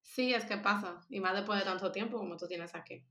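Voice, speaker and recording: Bright-voiced Dominican woman. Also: mid-range voice, a little fast. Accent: Dominican Spanish. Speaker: podcast co-host. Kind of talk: casual podcast chat.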